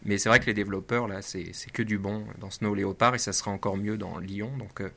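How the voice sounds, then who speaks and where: A man from France with a French accent, co-hosting a casual tech podcast and chatting freely with lots of pauses and filler words. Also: fairly low pitch, fairly even intonation, gravelly, pushed and bright. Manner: chatty, many fillers and restarts. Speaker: podcast co-host.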